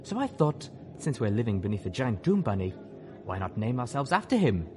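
A man's voice: mid-range voice, big pitch swings, brisk, background noise, close to an everyday voice. A man voicing a proud, chatty young female zebra in an audiobook story.

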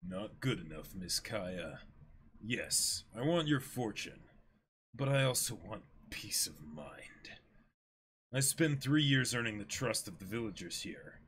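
A man's voice: on the low side, very animated, a bit bright, slight background noise, voice pushed down. A man voicing a cold, bitter pirate villain posing as a mock-polite butler in a dramatic manga reading. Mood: menacing. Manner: slow, deliberate, mock-polite.

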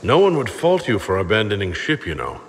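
A man's voice: on the low side, huge pitch swings, fairly quick, big-room sound, a little hiss or hum. An actor playing a male inquisitor in a fantasy video game, asking direct questions.

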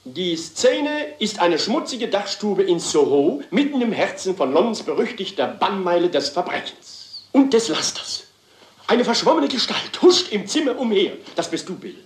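Crime comedy: grandiose male stage director, theatrical and self-important, high register, very wide intonation. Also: big pitch swings, normal speed, echoey room. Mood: melodramatic. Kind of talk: dubbed German crime comedy acting.

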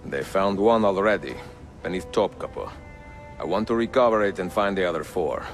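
Low-voiced older Italian master assassin. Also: male voice, animated, normal speed, soft background music. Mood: calm, purposeful. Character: seasoned older Italian master assassin, courteous, grave, resolute. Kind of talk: cinematic video game acting.

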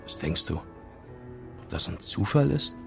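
Low-voiced, wry male amateur sleuth. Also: sing-song, slow pace, vocal fry, over music, heavily acted. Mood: earnest, recounting. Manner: conversational, wry. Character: casual young male amateur sleuth, recounting intrigue, wryly teasing. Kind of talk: German audio drama dialogue.

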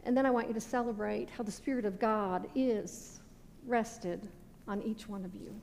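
A middle-aged American woman, a pastor, giving a sermon with measured, deliberate phrasing that falls away at sentence ends. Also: mid-range voice, fairly even intonation, gravelly, a little mellow. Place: indoor stone-walled church sanctuary at a pulpit. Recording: reverberant church hall with background noise.

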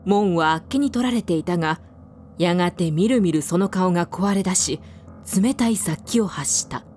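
A woman's voice: on the low side, normal speed, a little mellow, soft background music. A woman giving scripted narration for a radio drama as its narrator.

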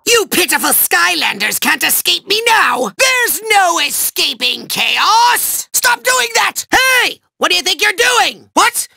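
A man voicing a whiny, megalomaniac evil sorcerer in a video game, ranting in a shrill, gravelly screech. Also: big pitch swings, slow, few pauses, bright, forceful tone, exaggerated voice. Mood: gloating, ranting. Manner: ranting, grandiose, hammy. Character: small, giant-egoed evil sorcerer villain, whiny and megalomaniac. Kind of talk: video game villain voice lines. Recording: echoey cavernous reverb, music behind.

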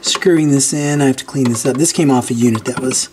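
A man's voice: mid-range voice, quick pace. A man giving a casual hands-on repair walkthrough, informally thinking aloud as he works. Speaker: host of a repair channel. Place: indoors at a cluttered workbench. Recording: steady clear background noise with clicks.

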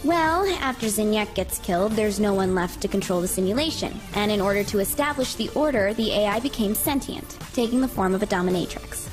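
An actress playing a sarcastic hacker sidekick in a video game. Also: female voice, mid-range voice, animated, a little fast, hardly any pauses, music in the background, lightly acted. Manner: dry, sarcastic.

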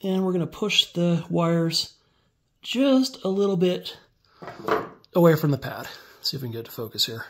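A man walking through a hands-on build step by step in a relaxed, casual tutorial, filmed on a phone over a workbench indoors. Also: fairly high pitch, normal speed, long pauses. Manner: relaxed step-by-step explaining while working. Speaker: DIY tutorial maker.

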